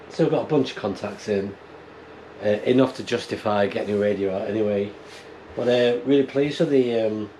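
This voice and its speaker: A British man with a fairly low voice chats casually to his vlog. He is unhurried and understated, wandering from thought to thought. There is noticeable steady noise in the recording. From United Kingdom. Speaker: amateur radio hobbyist and vlogger. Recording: clear steady background noise.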